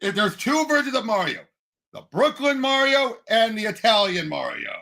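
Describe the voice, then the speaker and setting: High, creaky, bright-voiced man chatting. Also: moderate pace. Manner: opinionated, animated, searching for words. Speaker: podcast co-host. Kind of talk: casual pop culture discussion.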